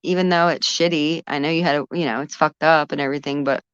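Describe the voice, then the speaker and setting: A woman's voice: deep voice, steady tone, fast talker, a bit bright, clear, resonant tone, no room sound. A woman chatting casually as a guest on a livestream, heard through dull, lo-fi stream audio.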